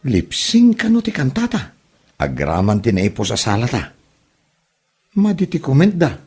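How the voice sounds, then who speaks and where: A man playing a laid-back, curious husband in an Ilocano comedy audio drama, husky and casual, with an Ilocano Filipino accent. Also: mid-range voice, wildly swooping pitch, moderate pace, a little mellow, soft background music.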